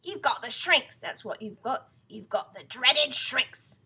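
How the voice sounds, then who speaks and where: A woman voicing a cruel older husband from a children's storybook, who speaks in emphatic, drawn-out, mock-solemn pronouncements. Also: a little high, lively intonation, bright, forceful tone, husky, voice pushed up, heavily acted. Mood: taunting. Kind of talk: children's storybook character reading.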